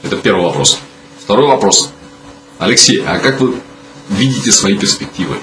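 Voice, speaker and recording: Low-voiced man asking questions, noisy recording. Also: animated, normal speed. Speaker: interviewer putting questions. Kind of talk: casual group discussion. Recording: clear background noise.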